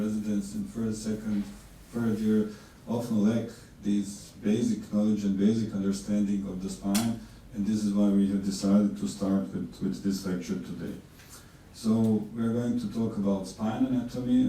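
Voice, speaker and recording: A neurosurgeon from Bosnia and Herzegovina lectures at a course in a flat, dark-toned voice, stopping to restart his phrases now and then. He sits well back from the mic, so the hall rings loudly around him. A faint hiss runs under the recording. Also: fairly low pitch. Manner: measured, with frequent fillers and restarts. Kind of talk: conference lecture. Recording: distant mic in an echoey hall with faint noise.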